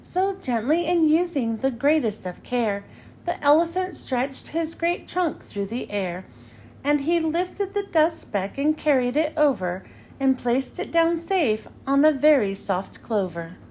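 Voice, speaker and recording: A woman reading a children's story aloud in an expressive, singsong rhyming delivery. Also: sits high, measured pace, slight background noise. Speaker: children's book reader. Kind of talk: animated read-aloud storytelling.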